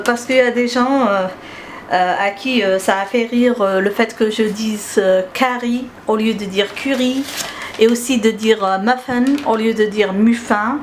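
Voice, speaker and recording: A woman from Algeria whose first language is Arabic. She speaks French with an Algerian accent, hosts a cooking channel and walks through a recipe step by step in a gentle, hesitant way with many filler sounds. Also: fairly high pitch, fairly even intonation, unhurried, a little hiss or hum.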